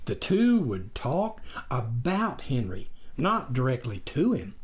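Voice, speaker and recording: Animated male audiobook narrator. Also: mid-range voice, slow pace. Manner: expressive storytelling. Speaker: author reading his memoir.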